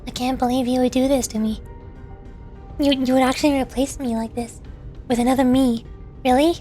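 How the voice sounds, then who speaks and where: A woman's voice: high-pitched, fairly even intonation, brisk, mellow, faint music behind. A woman streaming as a VTuber, chatting casually and reacting to her chat while her voice gives out. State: losing her voice.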